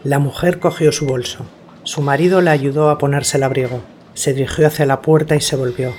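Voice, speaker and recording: A man narrates a radio drama in scripted literary prose. His voice is medium in pitch, with faint ambient noise behind it. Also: steady tone, brisk, a little mellow.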